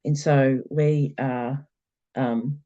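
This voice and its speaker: A New Zealand woman presents at a conference over a video call, slowly and with a dark, mellow tone. Her voice is croaky, and she hesitates often, filling pauses and restarting her sentences. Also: very deep voice, fairly even intonation, highs cut off. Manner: hesitant, many fillers and restarts. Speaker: public health conference presenter.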